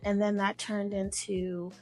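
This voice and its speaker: A woman's voice: mid-range voice, flat delivery, measured pace, darkish tone, faint music behind. A woman guest from Memphis whose first language is Arabic, speaking casually with fillers and restarts. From Memphis, Tennessee, US. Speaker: guest artist. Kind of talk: casual conversational interview.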